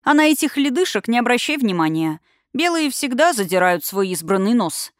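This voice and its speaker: A woman voicing an eager, friendly fifteen-year-old shifter boy with an excitable, impatient manner in a fantasy audiobook. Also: a little high, moderate pace, dry recording, studio quality.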